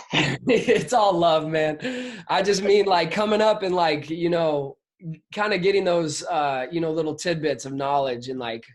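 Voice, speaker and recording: A man's voice: a little high, measured pace. A man in his late twenties hosting a casual chat, talking in loose slang peppered with "man" and "like". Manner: slangy, says "man" and "like" constantly. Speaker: host of a drummers' chat show.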